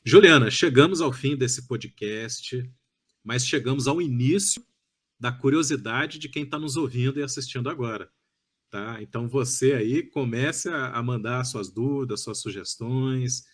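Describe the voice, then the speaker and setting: A Brazilian man of fifty-one hosting a podcast interview, talking effusively and wordily with frequent tag questions. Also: mid-range voice. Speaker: podcast host.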